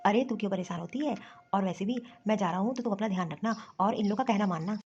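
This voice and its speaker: Protective young husband for family cartoon female actor; husky, earnest, placating, lo-fi sound. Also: mid-range voice, fast, darkish tone, close to an everyday voice. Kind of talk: Hindi family-drama cartoon voice acting. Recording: dull, lo-fi recording.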